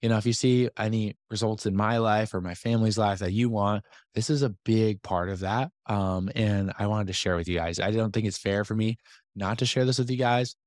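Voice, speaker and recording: A man hosting a business podcast, talking casually to his community with a slightly hoarse voice and lots of fillers and asides. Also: on the low side, a little fast, no echo, studio quality. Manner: energetic, chatty, many fillers and asides. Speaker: podcast host and business coach. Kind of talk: casual talk to a community call.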